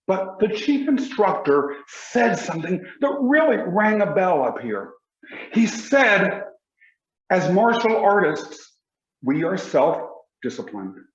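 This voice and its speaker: A man, giving a motivational speech with emphatic, deliberate pauses. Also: high-pitched, very animated, reverberant, highs cut off. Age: 40s. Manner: emphatic, slow deliberate delivery with dramatic pauses. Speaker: motivational speaker.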